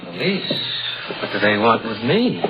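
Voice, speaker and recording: Anguished son with wildly swinging pitch. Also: male voice, very animated, unhurried, over music. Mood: uneasy. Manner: emotional, dramatic. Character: anguished grown son of a domineering mother. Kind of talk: 1950s radio melodrama acting. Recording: dull old radio drama recording.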